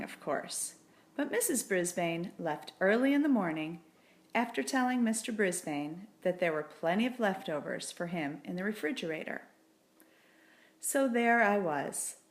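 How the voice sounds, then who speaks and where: A woman narrating a children's story from a script as an audiobook reader. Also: on the low side.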